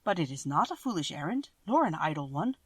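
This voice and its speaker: A woman's voice: low voice, big pitch swings, brisk, husky, no room sound, full character voice. Woman voicing an earnest straw scarecrow.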